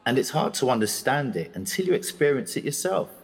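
An actor playing an educated middle-aged prisoner, reflective and indignant, in an articulate, confiding audio drama monologue. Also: male voice, mid-range voice, sing-song, brisk, husky, big-room sound, faint music behind, low-quality recording. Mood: indignant, weary.

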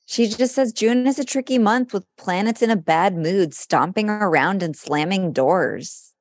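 A woman's voice: medium pitch, lively intonation, unhurried, no room sound, studio quality. A woman chatting casually as a podcast co-host, in a frank, wry way. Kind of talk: casual chat about horoscopes.

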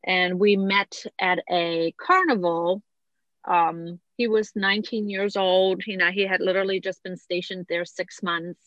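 A woman's voice: fairly low pitch, steady tone, measured pace, a bit bright, no room sound, muffled recording. A woman in her forties from Germany, telling her own story conversationally as a podcast guest. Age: 40s.

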